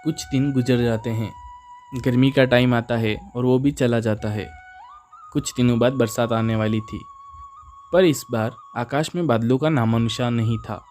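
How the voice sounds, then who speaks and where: A man's voice: medium pitch, a little mellow. A man narrating a scripted children's animal story as its storyteller. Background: music.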